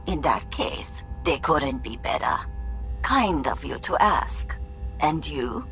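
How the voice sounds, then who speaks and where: A woman playing a shrewd older fixer, dry, imperious and deliberate, with formal phrasing in a video game. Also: low voice, very animated, fairly bright tone, slight background noise, heavily acted. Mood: dry, amused.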